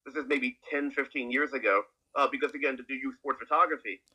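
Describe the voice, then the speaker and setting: A man's voice: medium pitch, fairly even intonation, fast, sharp tone, slightly rough, poor audio. A man with a Canadian accent in casual discussion, talking animatedly and repeating words in bursts. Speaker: podcast guest co-commentator.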